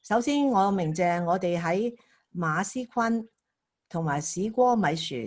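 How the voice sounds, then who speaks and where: A woman who is an opera company director, giving a careful, measured introduction with hesitations. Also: fairly low pitch. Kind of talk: formal introduction.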